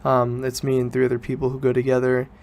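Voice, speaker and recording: A man's voice: medium pitch, completely flat delivery, fast talker, gravelly, a little mellow. A young man, student age, talking casually and informally as a solo podcast host, rambling and correcting himself as he goes. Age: teens to young adult student.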